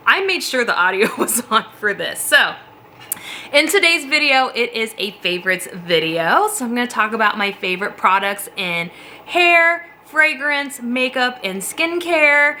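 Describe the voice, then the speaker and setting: Woman beauty vlogger: bright, chatty, a little sniffly, casual to-camera talk from a home basement office. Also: on the high side, animated, a little slow, slight background noise. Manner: chatty, rambling, laughs often. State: slightly sniffly from a cold.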